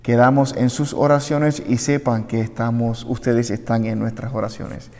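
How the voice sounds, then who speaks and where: A man's voice: medium pitch, flat delivery, moderate pace, a little mellow. A man in his thirties with a Puerto Rican accent, a deacon speaking earnestly to a congregation in a prepared appeal. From Puerto Rico. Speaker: deacon and seminarian. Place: small wood-panelled chapel, indoors, at a lectern. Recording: long echoey reverb of a hard-walled room.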